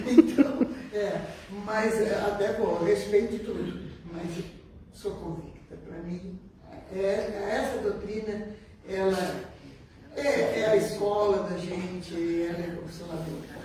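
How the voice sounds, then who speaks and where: A woman with a southern Brazilian accent from Rio Grande do Sul tells a personal story informally to a group, repeating words for emphasis in an animated way. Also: medium pitch, slow pace, crowd noise. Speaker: spiritist medium giving testimony. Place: echoey meeting room with a group. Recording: very echoey room, distant mic, faint noise.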